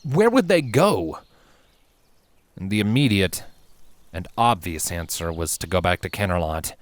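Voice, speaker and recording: A man reading scripted fantasy fan fiction aloud as a narrator, in measured, expressive storytelling. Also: sits low, dry recording. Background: background music.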